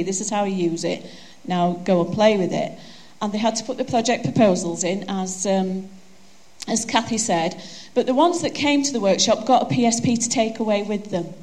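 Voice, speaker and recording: A woman's voice: mid-range voice, a little mellow. A woman with a broad Yorkshire accent giving a chatty, joking conference presentation on a handheld microphone. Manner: chatty, self-deprecating, joking.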